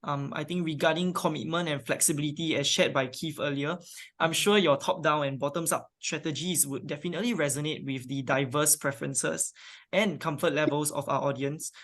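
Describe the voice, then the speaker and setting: A man's voice: fairly high pitch, fairly even intonation, a little fast, a bit bright. A man hosting a finance webinar, moderating with friendly thanks and frequent "um"s. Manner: friendly, filler-heavy moderating. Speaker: webinar host.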